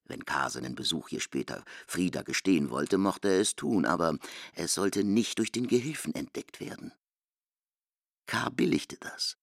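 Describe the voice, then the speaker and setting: A man with a gravelly voice giving literary narration in a radio play. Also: sits low, no echo, studio quality.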